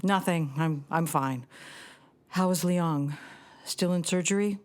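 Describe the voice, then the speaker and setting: A woman's voice: lowish voice, takes it slowly. An actress playing a plucky, guarded young woman pilot in an audio drama. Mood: subdued.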